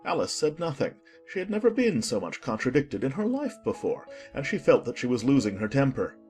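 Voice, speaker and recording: A man's voice: medium pitch, very animated, brisk, darkish tone, faint music behind. A man narrating a dramatized children's storybook in a scripted audiobook style.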